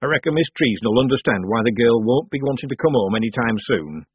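A man's voice: mid-range voice, expressive, fast, breathy edge, no echo. Man acting a folksy village man, phone-like sound. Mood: guarded. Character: cheeky, sheepish village man, deferential to the witch.

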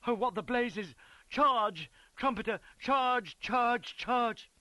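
A man voicing a frantic British cavalry officer who shouts urgently and insistently, as a character in an audiobook. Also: lively intonation, takes it slowly, no room sound, heavily acted. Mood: alarmed, urgent. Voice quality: shouting, high.

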